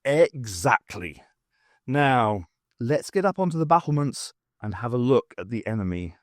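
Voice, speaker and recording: A man voicing a brisk, stern woman adventurer in an audiobook, commanding and dryly exasperated. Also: mid-range voice, very animated, no room sound, studio quality.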